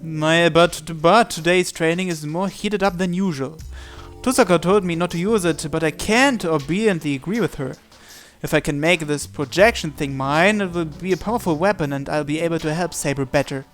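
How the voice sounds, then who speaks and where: A man's voice: a little high, normal speed, soft background music. A man narrating a visual novel in the first person, reading from the script.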